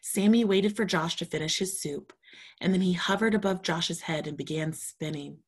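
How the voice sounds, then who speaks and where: A woman's voice: lowish voice, normal speed, slightly rough. A woman storytime reader, reading a children's storybook aloud expressively and talking to young children.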